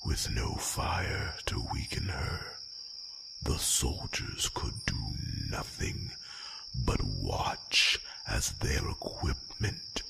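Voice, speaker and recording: A man voicing an ancient, courteous, proud dragon in a fantasy ASMR roleplay, speaking formally in a gravelly, breathy near-whisper with rumbling hums. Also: big pitch swings, heavily acted. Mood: proud storytelling. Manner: slow, formal, drawn-out phrasing with rumbling hums. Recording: noisy track with loud nature ambience.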